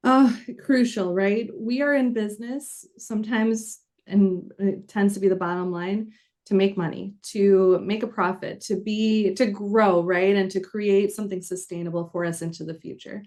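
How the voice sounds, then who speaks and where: A woman in her thirties from the Chicago area talks as a guest attorney on a podcast. She explains earnestly and steadily at a middle pitch, now and then restarting a phrase. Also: steady tone, normal speed, darkish tone. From Chicago area, United States. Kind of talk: podcast interview.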